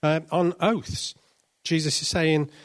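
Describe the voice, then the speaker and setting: Preacher, male, fairly high-pitched, measured and explanatory, halting delivery. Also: steady tone. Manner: thoughtful, explanatory, with many restarts and ums. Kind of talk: sermon.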